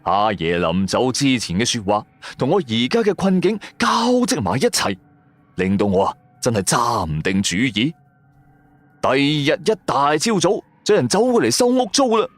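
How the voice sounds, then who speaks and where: A man with a Cantonese accent telling a scripted first-person story in a colloquial, expressive style. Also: mid-range voice, moderate pace, no echo. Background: music.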